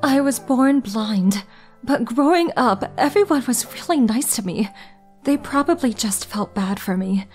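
A guarded, melancholy young anime woman played by an actress. She speaks gently and hesitantly in a fairly high voice, with faint music underneath. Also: lively intonation, a little slow, slightly rough. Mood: melancholy confiding. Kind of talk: anime manga dub acting and narration.

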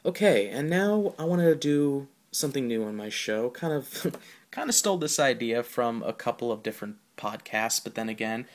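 A man hosting a podcast, giving a casual, informal solo film review in a halting way. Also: mid-range voice, gravelly, a bit bright.

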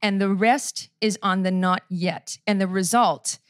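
A woman in her thirties with a Canadian accent, preaching on a church stage into a handheld mic, conversational and self-deprecating, slowing down to read scripture. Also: mid-range voice, steady tone, clear, resonant tone, no room sound, studio quality. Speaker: pastor. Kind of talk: sermon. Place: church stage before a seated audience. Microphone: handheld microphone.

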